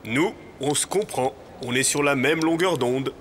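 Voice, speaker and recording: A man's voice: medium pitch, unhurried, a bit bright, slight background noise, lightly acted. A man voicing an eager, friendly animal pal in a children's cartoon. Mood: pleased. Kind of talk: children's cartoon dubbing in French.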